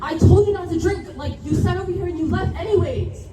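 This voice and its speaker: A woman acting out a panicked young woman at a staged car crash, shouting and stammering. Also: very high voice, fairly quick, echoey room, recorded from a distance, very noisy.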